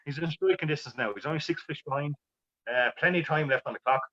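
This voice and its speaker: Fast-talking man commentating fly fishing. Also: a little high, sharp tone, no echo, lo-fi sound. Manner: rambling, many fillers and restarts. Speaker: expert co-commentator.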